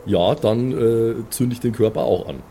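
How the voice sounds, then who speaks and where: A man's voice: medium pitch, moderate pace, warm tone, a little hiss or hum. A man playing a tabletop role-playing game, talking casually and hesitantly, often repeating himself. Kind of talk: casual improvised game talk.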